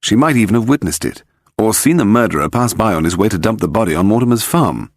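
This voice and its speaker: A man plays a suave detective in an audiobook mystery. He is composed and authoritative, reasoning aloud with assurance in a low voice that moves with lively pitch. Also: fast talker, no echo, clean studio sound. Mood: urgent, assured.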